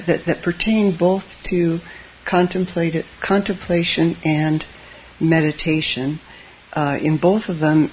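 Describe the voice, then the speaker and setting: A soft-voiced Zen teacher speaks to a gathered audience in a meditation hall, often pausing on fillers and slipping in dry little jokes. The voice sits at a middle pitch and drifts quiet and low. The recording is dull and lo-fi, with a faint hiss underneath. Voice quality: soft voice that tends to drop low. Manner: hesitant, with frequent fillers and wry asides. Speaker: Zen teacher giving a dharma talk. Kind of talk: gentle, informal dharma talk.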